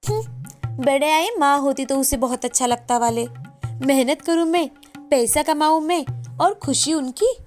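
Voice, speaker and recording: A very high-pitched, sly young wife from a cartoon moral tale, played by a woman. She is lazy and greedy, and speaks in a nagging, sarcastic way. Music plays behind her. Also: measured pace, a little mellow. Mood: resentful, mocking. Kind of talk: animated moral story dialogue.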